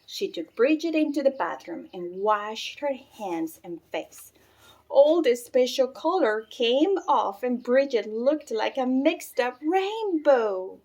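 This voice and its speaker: High-voiced, animated woman reading to children. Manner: animated, deliberate phrasing for children. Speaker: storybook reader. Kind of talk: expressive children's read-aloud.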